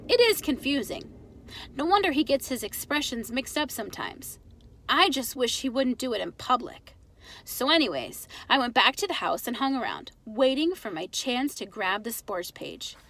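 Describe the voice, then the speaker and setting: A woman reading a children's book aloud as an expressive storyteller. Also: a little high, no echo.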